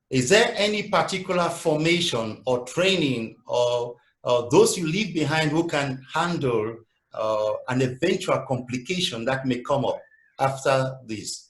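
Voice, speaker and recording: A man's voice: mid-range voice, roomy sound, low-quality recording. A man moderating a webinar Q&A, polite and hesitant, with many fillers. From Cameroon.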